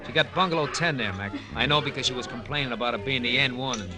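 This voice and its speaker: An actor playing a gruff, streetwise ex-Marine bartender, chummy and slangy, in a 1940s radio crime drama. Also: male voice, medium pitch, quick pace, lightly acted. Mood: confiding. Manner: slangy, clipped, familiar talk. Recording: old radio broadcast with music behind.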